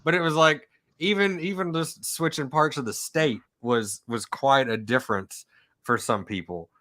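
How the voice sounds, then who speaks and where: A man's voice: mid-range voice, moderate pace. A man from Oklahoma with a slight Southern accent, telling stories in a casual conversation. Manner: storytelling, animated in quoted lines. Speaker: historian researcher.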